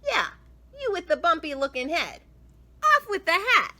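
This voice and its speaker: A woman's voice: very high voice, sing-song, moderate pace, pushed and bright, pitched above the performer's own voice, heavily acted. A woman voicing a brash, bossy male school photographer in a children's story.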